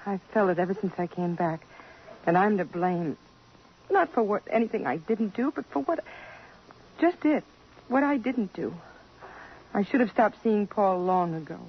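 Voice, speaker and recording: A woman's voice: mid-range voice, lively intonation, normal speed, pauses often, lightly acted. An actress playing an anxious, guilt-ridden, refined young woman in a 1950s radio drama, speaking earnestly. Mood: guilty, earnest. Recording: muffled, faintly noisy 1950s radio broadcast.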